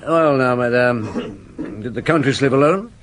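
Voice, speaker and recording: An actor playing a patient, probing senior police chief inspector in a radio drama, with a terse, authoritative, questioning manner. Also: male voice, medium pitch, measured pace, a bit bright, slight background noise, close to an everyday voice. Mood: brisk.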